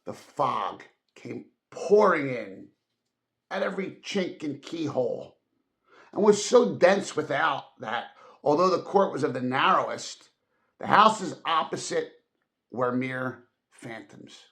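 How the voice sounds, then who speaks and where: Slow, bright-voiced male storybook narrator. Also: on the high side, animated. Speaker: audiobook narrator.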